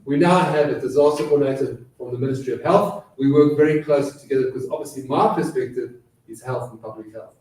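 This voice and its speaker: A man's voice: mid-range voice, quick pace, a little mellow. South African man lecturing in an echoey room. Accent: South African with British influence. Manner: chatty, self-deprecating, frequent fillers. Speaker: guest speaker. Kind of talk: lecture presentation. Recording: echoey room, voice far from mic.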